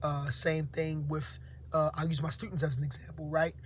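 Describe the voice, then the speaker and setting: A man who teaches, giving casual motivational advice to his viewers, slightly hoarse and half-awake, with frequent fillers and tags like "right?". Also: a little high, little pitch movement, normal speed, slight background noise. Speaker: teacher and vlog host. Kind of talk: casual motivational talk to camera.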